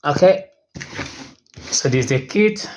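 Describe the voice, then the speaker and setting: An unboxing host giving a casual product walkthrough in short, simple, clipped phrases, at a medium pitch. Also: lively intonation, slow pace. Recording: noisy, with clicks and crinkling packaging.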